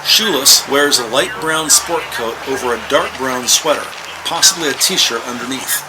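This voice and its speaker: A man's voice: medium pitch, normal speed, heavy background noise. A man giving scripted, present-tense narration for a crime drama.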